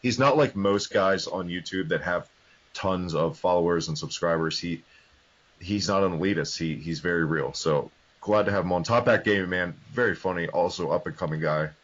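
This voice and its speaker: A man's voice: low-pitched, moderate pace, fairly bright tone. A man hosting a casual podcast, joking and rambling, a little under the weather. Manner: rambling, joking, self-correcting. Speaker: podcast host. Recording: dull lo-fi podcast audio.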